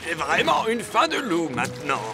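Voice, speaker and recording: An actor playing a hungry, gruff father wolf with a raspy voice in a French children's cartoon. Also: a little high, wildly swooping pitch, normal speed, music in the background. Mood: hungry, grumbling.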